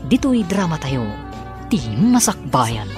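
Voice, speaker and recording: A man's voice: on the high side, sing-song, slow pace. A man with a Filipino accent delivering scripted, dramatic narration for a radio serial. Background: background music.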